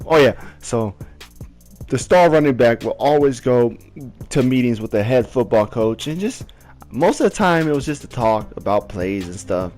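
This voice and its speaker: A young man from Southern California telling a casual story to the camera, animated and full of "like" fillers, his voice leaping up high when he relives moments. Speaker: storyteller vlogger.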